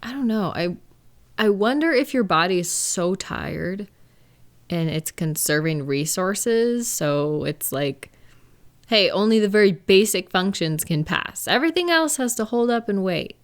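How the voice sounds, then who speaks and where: A woman playing a caring, reassuring live-in partner in an intimate audio roleplay, speaking gently and conversationally with thoughtful, hesitant pauses. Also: mid-range voice, animated. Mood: thoughtful, gentle. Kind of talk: intimate one-sided comfort roleplay, conversational acting.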